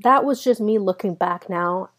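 A woman's voice: medium pitch, normal speed, fairly bright tone. A woman in her twenties, a native Spanish speaker, hosting a solo podcast and telling personal stories earnestly while speaking straight to her listeners. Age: 20s. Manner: earnest personal storytelling, addresses listeners directly. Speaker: podcast host.

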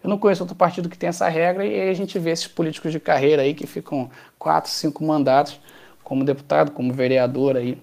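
A man's voice: fairly high pitch, fairly even intonation, fast, gravelly, darkish tone. A man with a Carioca accent, a political candidate answering interview questions with many hesitations. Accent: Carioca Portuguese. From Rio de Janeiro, Brazil. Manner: hesitant, many fillers and restarts. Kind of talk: interview conversation.

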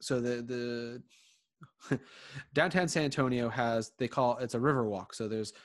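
A man from Connecticut in casual conversation, his voice sitting at an ordinary middle pitch. He rambles, piling up fillers and restarting his sentences as he talks. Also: steady tone, a little slow. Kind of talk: casual chat.

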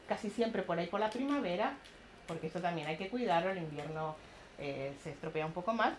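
A middle-aged Chilean woman with a somewhat low voice recounts her neighborhood projects, laughing easily and acting out what others said. The room is echoey, with a faint hum of background noise behind her. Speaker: community project organizer. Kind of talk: public talk with audience questions.

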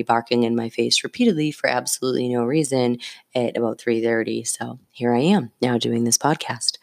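A woman hosting a solo podcast in a casual, candid, emphatic way, often checking in with rhetorical questions, with a slightly scratchy voice. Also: extremely low pitch. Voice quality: slightly scratchy early-morning voice. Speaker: podcast host.

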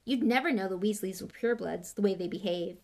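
A woman's voice: medium pitch, fast, a little mellow, natural voice. A woman voicing a spoiled, arrogant schoolboy bully in an audiobook, scornful, impatient and boastful. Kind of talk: audiobook character voice.

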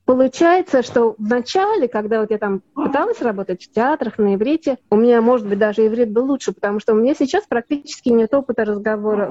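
A woman raised in Russia tells her story in a relaxed, rambling way, filling her pauses with hesitations. Her voice sits a little high and sounds mellow. The recording is dry and dull, as if from a modest mic. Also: no echo. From Russia (Leningrad). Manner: rambling, many fillers and restarts. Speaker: actress and dubbing artist, guest. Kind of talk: casual interview talk.

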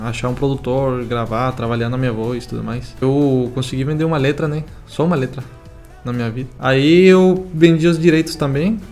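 A nineteen-year-old man from Venezuela, a Spanish native speaker with a Venezuelan accent, telling his story as a songwriter in a relaxed, halting way full of fillers. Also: mid-range voice, soft background music. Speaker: young songwriter. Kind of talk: casual interview answers.

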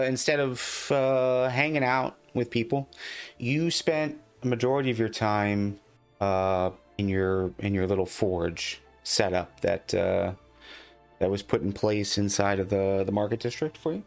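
A man improvising tabletop game narration as game master, speaking haltingly and often repeating words. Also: medium pitch, flat delivery, clean tone, faint music behind.

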